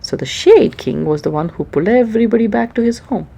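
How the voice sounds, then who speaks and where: A woman's voice: a little high, lively intonation, fast talker, creaky, dark tone, breathy edge. A woman reading a children's story aloud in a dramatic, explanatory way, drawing out words and adding asides for young listeners. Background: music.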